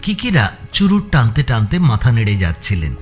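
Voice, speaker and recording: A man's voice: medium pitch, huge pitch swings, normal speed. A man narrating a scripted suspense audio story as its narrator. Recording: music bed behind the voice.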